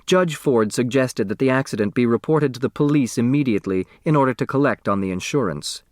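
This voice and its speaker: A man narrating a children's mystery novel as an expressive audiobook reader who acts out the dialogue. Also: medium pitch, brisk, dry recording, clean studio sound.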